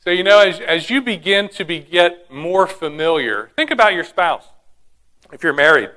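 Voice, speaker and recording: Bright, high-voiced preacher. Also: male voice, lively intonation, moderate pace, low-quality recording. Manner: storytelling, asks the congregation questions. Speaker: pastor. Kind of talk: sermon.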